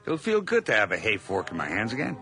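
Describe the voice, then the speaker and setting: A man playing a nervous, haunted madman drifter in a 1980s radio horror drama, halting and theatrical, dropping into whispers. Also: on the low side, huge pitch swings, bright, forceful tone, exaggerated voice. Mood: weary, guarded. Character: nervous, haunted madman drifter and farmhand telling his tale.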